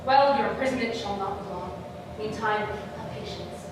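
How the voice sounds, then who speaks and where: A woman playing a scheming, bitter royal villain in a theatrical Shakespearean staged reading, with dramatic, weighted pauses. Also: mid-range voice, natural voice. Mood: false reassurance. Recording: very echoey noisy hall, distant mic.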